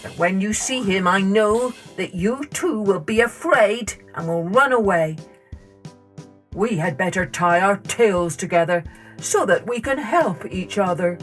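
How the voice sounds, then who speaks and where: A woman's voice: medium pitch, animated, unhurried, soft background music, close to an everyday voice. A woman voicing a big, cowardly male tiger in a children's story, giving anxious, emphatic warnings. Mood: wary, anxious. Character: great cowardly male tiger, fearful and gullible.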